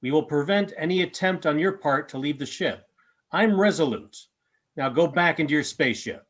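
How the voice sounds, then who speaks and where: A man acts out a resolute, protective alien guardian in a radio play. The voice is a little high for a man, and it delivers stern warnings in formal, measured pronouncements. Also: lightly acted.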